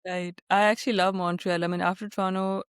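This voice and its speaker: A woman hosting a podcast, asking questions in a relaxed way with plenty of fillers. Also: medium pitch, fast, clear, resonant tone, no room sound, clean studio sound. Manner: warm interviewer, many fillers, relates to the guest. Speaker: podcast host. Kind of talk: casual interview chat.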